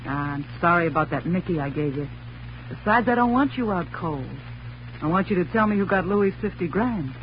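An actress playing a hard-boiled, impatient gangster moll in a 1940s radio drama, speaking in curt, tough slang. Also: female voice, fairly low pitch, lively intonation, brisk, natural voice. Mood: cool, coaxing. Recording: muffled old radio recording, faint hiss.

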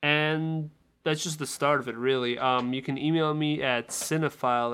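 A man's voice: medium pitch, vocal fry, a bit bright, clean tone. A man co-hosting a podcast, talking casually about films as a critic. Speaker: film podcast co-host and critic. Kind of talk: casual film discussion.

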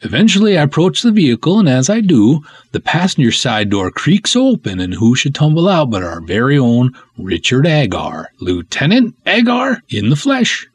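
A man voicing an excitable, chatty cop who tells his tale in folksy slang for a serial story. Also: mid-range voice, moderate pace, hardly any pauses, breathy edge, no echo, voice pushed up. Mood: excited, suspenseful. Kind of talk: dramatic serial reading.

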